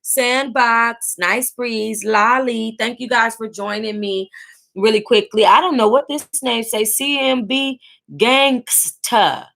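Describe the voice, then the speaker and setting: Female, American, higher-pitched. Book club livestream host, casual animated read-aloud with blunt, salty reactions. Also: takes it slowly, gravelly.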